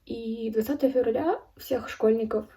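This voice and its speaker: A woman in her early twenties from Ukraine giving a serious personal account as a video blogger, speaking in halting, deliberate phrases with pauses. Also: a little high, dark tone, recorded from a distance. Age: early 20s. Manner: serious, solemn. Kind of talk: personal account spoken to camera.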